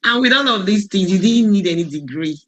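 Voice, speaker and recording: A woman hosting a group call in a casual, excitable way, often repeating her phrases. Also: medium pitch, a little fast, lo-fi sound, crowd noise. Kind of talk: casual interviewing.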